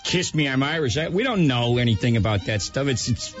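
A man voicing a crude, grumpy older Boston Irish man with a Boston accent, ranting in a gruff, jokey way on slightly muffled radio audio. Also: medium pitch, animated, a little fast, soft background music, natural voice.

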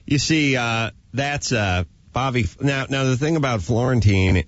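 A man's voice: medium pitch, measured pace. A man hosting a radio show in a casual, chatty, enthusiastic way. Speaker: radio host. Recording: dull, dry studio sound, faint music.

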